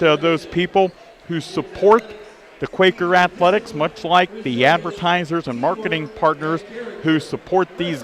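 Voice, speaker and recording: A man's voice: a little high, a bit bright. A man hosting a live local sports radio broadcast from a gymnasium, with an announcer-like delivery. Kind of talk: live pregame sports broadcast with sponsor reads. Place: indoor high school basketball gymnasium. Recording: busy gym crowd behind the voice. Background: crowd and bouncing basketballs.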